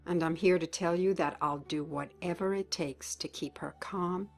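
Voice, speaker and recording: A woman's voice: lowish voice, steady tone, moderate pace, vocal fry, faint music behind. An actress playing a dying older mother who talks in a blunt, folksy, wry way. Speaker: actor. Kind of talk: web drama acting.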